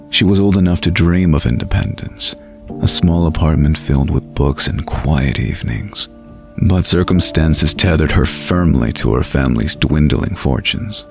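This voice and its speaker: A male narrator with a low, gravelly voice tells a fantasy story. His delivery is measured and atmospheric, suited to bedtime listening, with faint music underneath. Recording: light music behind the voice.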